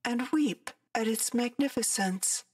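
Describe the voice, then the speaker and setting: A woman's voice: fairly high pitch, a little slow, husky, dry recording, studio quality, distant mic. An actress playing a cold, grandiose female rogue AI in a game trailer, menacing and contemptuous, with a glitchy digital effect. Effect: glitchy, stuttering digital voice effect. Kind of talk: video game trailer villain monologue. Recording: loud trailer music over the voice.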